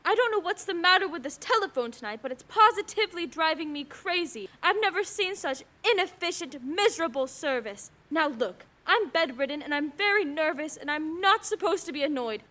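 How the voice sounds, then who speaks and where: Super high, bright voiced bedridden wife in a radio play, nervy, bossy, melodramatic. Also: female voice, lively intonation, a little fast, hardly any pauses, exaggerated voice. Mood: indignant. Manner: shrill, imperious, melodramatic. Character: nervous, demanding, self-pitying bedridden wife. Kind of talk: radio play suspense acting.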